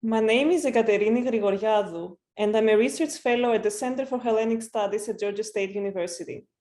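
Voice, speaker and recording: A woman from Greece, a native Greek speaker, reads out a prepared academic talk at an even, measured pace. Her voice sits a touch high and has a warm, mellow tone. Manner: measured, reading from a prepared text. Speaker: research fellow presenting a talk.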